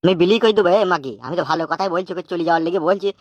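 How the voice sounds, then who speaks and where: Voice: mid-range voice, rapid-fire, dry recording, dull audio, lightly acted. A performer voicing an anxious, nagging old father in a comic cartoon, grumbling and scolding. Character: exasperated, foul-mouthed old village father, anxious and nagging.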